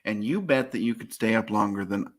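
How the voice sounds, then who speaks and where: A man's voice: lowish voice, a little fast. A man with an American accent co-hosting a casual board game chat in a wry manner. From United States. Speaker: co-host.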